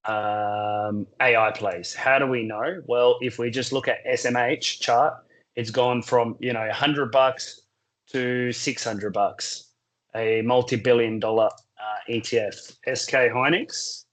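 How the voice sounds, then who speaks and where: A man with a sore throat, losing his voice, talking through a solo market update in a measured, explanatory way. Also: mid-range voice, fairly even intonation, a bit bright. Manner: measured, explanatory, works through points in numbered order. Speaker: crypto market commentator.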